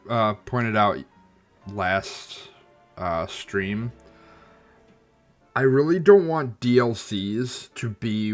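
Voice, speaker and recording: Streamer, male, low-pitched, unhurried: hesitant game commentary with lots of ums, a touch stuffy and nasal. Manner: thoughtful, hesitant, many ums and restarts. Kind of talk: casual gameplay commentary.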